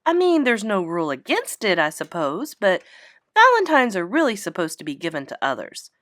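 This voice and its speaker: Woman playing a firm, exasperated kindergarten teacher, animated. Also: mid-range voice, normal speed, no echo, studio quality. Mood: hesitant correction. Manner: firm, explanatory, emphatic. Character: patient but exasperated female kindergarten teacher.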